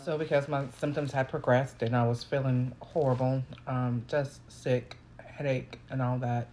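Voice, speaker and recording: A woman with a naturally deep voice, hoarse while ill, telling a casual, rambling personal story and correcting herself as she goes. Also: steady tone, normal speed, mellow, slight background noise. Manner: rambling, self-correcting, conversational. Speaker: vlogger.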